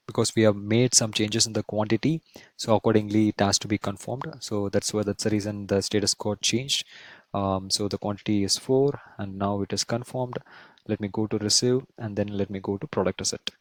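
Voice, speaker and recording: Low-voiced man giving a software tutorial, dry sound. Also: no echo. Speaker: software instructor. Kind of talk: screen-share tutorial, explaining step by step.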